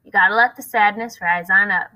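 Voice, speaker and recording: A woman voicing a tall, stern older woman who cleans motel rooms, with a slow, rhythmic, folksy drawl. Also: mid-range voice, sharp tone, natural voice, poor audio.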